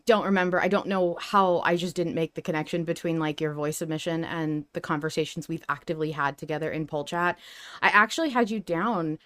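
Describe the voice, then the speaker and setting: A woman's voice: low voice, steady tone, a little fast, a bit bright. A woman hosting a podcast in casual, informal discussion. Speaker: podcast host.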